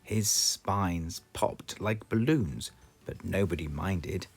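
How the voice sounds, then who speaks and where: A man with a British accent reading a children's storybook aloud in a slow, expressive, sing-song way. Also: sits low, soft background music. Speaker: storybook reader.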